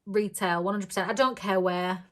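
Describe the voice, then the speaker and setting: Chatty woman with a croaky voice. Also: medium pitch, steady tone, normal speed, a bit bright. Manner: rambling and chatty, with many ums and frequent giggles. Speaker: budgeting vlogger. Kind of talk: casual chat to camera.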